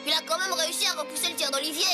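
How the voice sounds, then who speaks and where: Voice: very high-pitched, steady tone, racing. An actor playing an eager, anxious child who follows a football team in a dubbed cartoon. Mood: determined. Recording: music clearly behind the voice.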